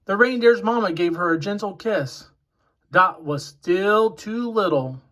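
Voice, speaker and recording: A man reading a children's picture book aloud in a deliberate storytelling way. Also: high-pitched, a bit bright.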